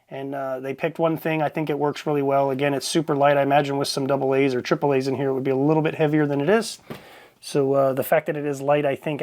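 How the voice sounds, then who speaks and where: A man's voice: a little high, darkish tone. A man giving a casual, plain-spoken product review, explaining things informally as he goes.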